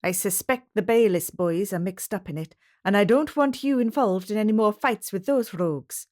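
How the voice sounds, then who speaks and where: A man's voice: high voice, normal speed, darkish tone, voice pushed up, natural voice. A woman voicing a terse, protective Scottish shepherd man with a Scottish accent, speaking firmly and giving orders in a storybook reading. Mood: urgent. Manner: terse, firm, commanding.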